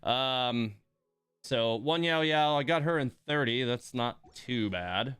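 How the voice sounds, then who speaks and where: Smooth-voiced man casually streaming a game. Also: medium pitch, takes it slowly, fairly bright tone, dry recording. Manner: wry, mildly grumbling, informal. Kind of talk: casual livestream commentary while playing.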